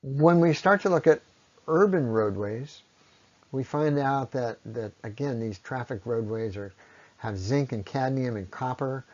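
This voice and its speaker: Man giving a measured lecture, muffled sound. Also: mid-range voice, darkish tone. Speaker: presenter on ecology. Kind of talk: explanatory lecture on environmental impact. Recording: dull, lo-fi voice recording.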